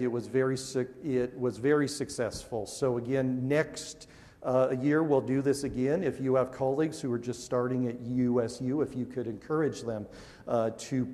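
A man's voice: medium pitch, darkish tone. A man in his forties giving a conference welcome speech on a large auditorium stage through a lapel mic, earnest and upbeat, with frequent fillers and restarts. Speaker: university administrator giving welcoming remarks. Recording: long hall reverb.

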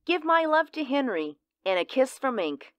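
A woman's voice: high voice, sing-song, moderate pace, smooth, no echo. A woman playing a chatty, easygoing housewife catching up with a friend in an audio drama. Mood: friendly. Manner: chatty, casual.

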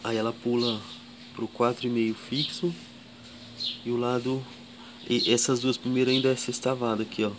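A man from Brazil hosting a casual tool vlog at his workshop bench, slowly counting wrench sizes and chatting to viewers. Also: mid-range voice, little pitch movement, noisy background. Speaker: tool channel host. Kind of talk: casual show-and-tell vlog.

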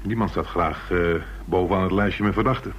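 An actor playing a sardonic, needling police detective in a radio play, with hesitant little pauses. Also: male voice, low-pitched, fairly bright tone. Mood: wry. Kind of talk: radio drama acting. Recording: muffled old radio recording, slight hiss.

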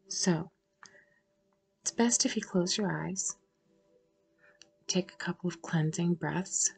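A woman guiding a meditation in a soothing, unhurried way, with long pauses and breathy phrases that trail toward a whisper. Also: on the low side, a little mellow. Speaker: guided meditation host. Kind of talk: guided meditation narration.

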